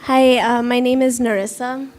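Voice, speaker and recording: A woman's voice: a little high, steady tone, moderate pace, darkish tone, faint music behind. A woman from Kenya with a mixed accent, hesitantly introducing herself from the audience as a travel blogger. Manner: hesitant, many ums. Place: theatre hall at a panel discussion.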